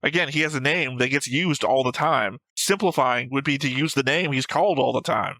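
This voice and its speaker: Casting: male co-host, forceful bright tone, argumentative and emphatic, casual swearing, close dry sound. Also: medium pitch, fairly quick, dry recording. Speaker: co-host of an anime reaction show. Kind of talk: casual opinionated discussion.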